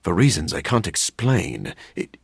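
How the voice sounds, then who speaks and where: A man playing a brooding, dryly witty vampire scientist in a video game, husky and raspy, speaking in a formal, sardonic way. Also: low-pitched, wildly swooping pitch, a little slow, no echo, studio quality, exaggerated voice. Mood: measured, brooding. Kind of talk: video game character voice acting.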